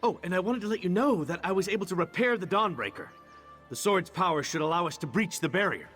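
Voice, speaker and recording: An actor playing a charming, candid knight in a fantasy video game, wry and conversational, hesitant when confiding. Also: male voice, high-pitched, lively intonation, fast, fairly bright tone, faint music behind. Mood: easygoing, wry. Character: charming, candid male knight champion of a goddess. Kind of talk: video game character dialogue.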